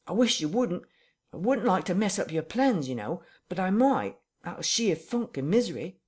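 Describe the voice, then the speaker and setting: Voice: medium pitch, sing-song, normal speed, darkish tone, slightly rough, pitched above the performer's own voice. A reader voicing a frightened, self-pitying tramp, plaintive and close to tears. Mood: whining. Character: whining frightened tramp, middle-aged man.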